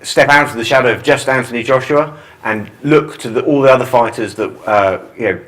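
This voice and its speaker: A man's voice: medium pitch, a little fast, fairly bright tone, rough recording. A man giving an upbeat wrap-up on boxing as a studio guest, with some hesitation.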